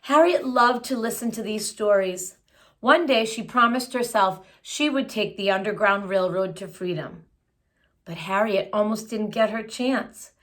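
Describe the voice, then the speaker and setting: A woman's voice: medium pitch, measured pace, a bit bright. A woman reading a children's picture book aloud, phrasing it expressively and adding simple explanatory asides for young listeners. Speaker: children's story reader.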